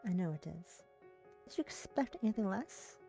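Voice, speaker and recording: A woman voicing an easygoing young female friend in a visual novel, relaxed and low-key. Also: moderate pace, gravelly, faint music behind. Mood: unbothered. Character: Neve, laid-back young woman, sweet-toothed easygoing friend.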